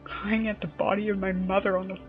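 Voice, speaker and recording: A woman narrating a scripted horror story in a dramatic manner, her phrases often dropping into a breathy hush. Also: mid-range voice, little pitch movement, a little fast. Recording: muffled lo-fi home recording, music behind. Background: background music.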